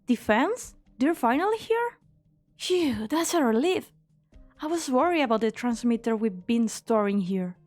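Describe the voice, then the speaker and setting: An earnest young female researcher, voiced by a woman, with a very high voice. She sounds anxious and expressive, sometimes hesitant, in game drama acting over faint music. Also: measured pace. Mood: relieved. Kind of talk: English fan dub of a game story.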